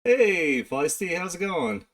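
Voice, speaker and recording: Slow-paced man chatting while he draws. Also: high-pitched, very animated, a bit bright. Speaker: art streamer. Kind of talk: casual live-stream chat while drawing.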